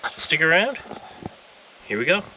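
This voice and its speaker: A man's voice: on the high side, very animated, normal speed. Man hosting a car how-to, noisy background. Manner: conversational, step-by-step explaining. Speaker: car audio installer hosting a tutorial video. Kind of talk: casual how-to vlog narration. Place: car repair shop.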